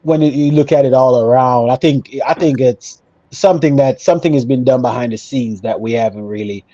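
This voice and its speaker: A man's voice: mid-range voice, fairly quick, dark tone, dry recording, dull audio. A man talking casually and emphatically as an opinionated football fan on a panel show, repeating himself for emphasis. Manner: emphatic, repetitive, conversational.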